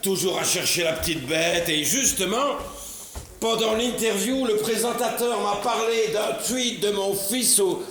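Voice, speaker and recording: A man's voice: high-pitched, a little slow, a bit bright, slight background noise. An actor playing a condescending middle-aged politician father, ironic and mock-polite, in a stage comedy. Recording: very echoey stage recording.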